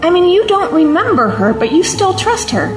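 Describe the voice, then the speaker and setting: A woman's voice: extremely high pitch, big pitch swings, normal speed. A woman playing a sceptical, outspoken companion to a time traveller in an audio drama, wry and questioning. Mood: uneasy, insistent. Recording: roomy echo, music behind.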